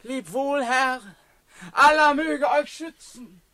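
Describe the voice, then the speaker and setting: Ragged crippled beggar: very high, bright, wheedling and ominous, radio drama. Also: male voice, fairly even intonation, no room sound, heavily acted. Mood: cryptic, ominous. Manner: slow, wheedling, imploring. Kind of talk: German radio play acting.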